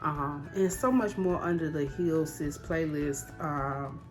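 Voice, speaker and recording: Female vlogger, thirties, low register, candid and conversational with emphatic repeats, background music. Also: slow pace. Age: 30s. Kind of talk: casual personal vlog to camera.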